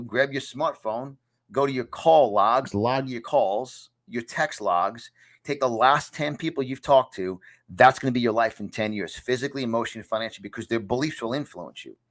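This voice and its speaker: A punchy motivational coach in his fifties, talking in a New York accent in a middle-pitched voice. He hammers his points home, repeating lines for emphasis. The sound is from a small home studio. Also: expressive, moderate pace. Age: 50s. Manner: emphatic, repeats phrases for effect. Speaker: success coach. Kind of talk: motivational lesson to camera.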